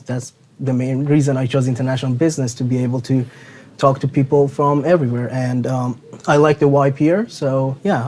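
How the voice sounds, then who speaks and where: A man's voice: mid-range voice, dark tone. A young man from Kuwait, chatting casually as a student guest on a panel. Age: young adult.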